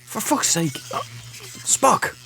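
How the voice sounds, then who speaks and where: A man's voice: slow, sharp tone. A man hosting a casual let's play, sweary and exclamatory as he talks to himself. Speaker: gaming channel host. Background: game music.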